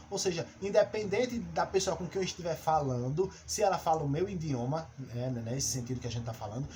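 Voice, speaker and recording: A male teacher the explains his lesson step by step. He pauses on fillers and restarts as he goes. His voice sits a little high, with faint noise behind it. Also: darkish tone. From Brazil. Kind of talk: recorded class lesson.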